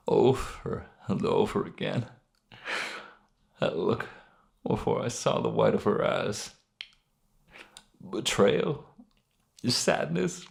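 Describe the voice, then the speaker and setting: A man playing a wounded, guilt-ridden criminal in a narrated story, halting and pained, with a gravelly, emotion-strained voice. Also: on the low side, full character voice. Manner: halting, rueful, with sighs and bitter weak laughs. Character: wounded, guilt-ridden criminal mourning his dead brother. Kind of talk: dramatic monologue in a narrated story.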